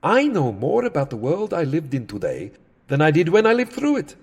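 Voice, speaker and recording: An ancient vampire count, holds forth in a comic audio drama. His slightly rough voice leaps dramatically up and down, wry, fussy and long-winded. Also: on the high side, huge pitch swings, normal speed, exaggerated voice. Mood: wry, chatty. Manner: theatrical, wry, fussily verbose. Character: Count Dracula, centuries-old male vampire, fussy, sardonic showman. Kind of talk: comedic audio drama acting.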